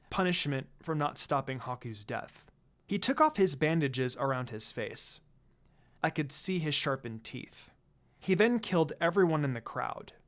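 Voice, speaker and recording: A man reading fan fiction aloud as dramatic first-person story narration. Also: a little high. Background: background music.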